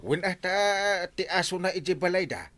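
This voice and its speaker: An actor playing a nervous, excitable village captain who stammers and jumps in pitch. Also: male voice, normal speed. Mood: excited. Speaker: radio drama lead.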